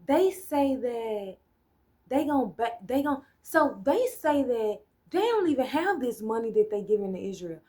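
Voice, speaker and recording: A woman's voice: a little high, sing-song, unhurried, warm tone. An American woman ranting casually on a solo vlog. She is blunt and profane, rambles, and loses her train of thought. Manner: talks things through with hypotheticals and "right?" checks. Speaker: solo vlogger. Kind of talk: casual political rant.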